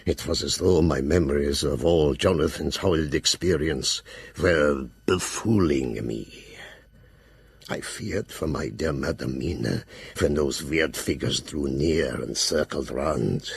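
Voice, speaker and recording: An actor playing a grave, fearful old professor who hunts vampires, narrating his journal solemnly in an audio drama, with a gravelly, husky voice. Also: extremely low pitch, slow pace, exaggerated voice.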